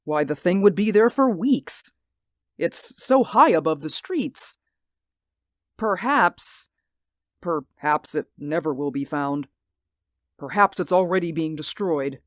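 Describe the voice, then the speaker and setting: A woman's voice: low voice, animated, slow, pauses often, no room sound, studio quality. A woman voicing Mister Ames, a nervous, sleepless man who stammers and pleads, in a radio drama. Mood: anxious. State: sleep-deprived, anxious. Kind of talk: hobby radio drama acting.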